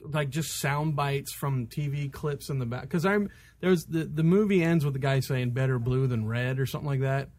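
A man's voice: mid-range voice, moderate pace, a little mellow, no room sound. A man on a podcast panel chatting casually in a rambling, filler-heavy way. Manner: rambling, peppered with "like". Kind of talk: casual chat.

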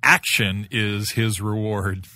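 A man's voice: on the low side, steady tone, slow pace, pushed and bright, dry recording. A man co-hosting a casual comics chat, talking in a wry, mock-serious way. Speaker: podcast co-host.

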